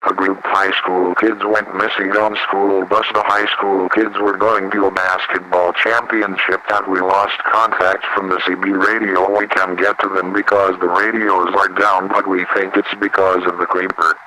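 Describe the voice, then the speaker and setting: A man's voice: low voice, little pitch movement, normal speed, long pauses, lightly acted, low-quality recording. A man acting as an emergency official delivering a bulletin about missing people. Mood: sombre report. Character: male emergency official reporting a missing school bus.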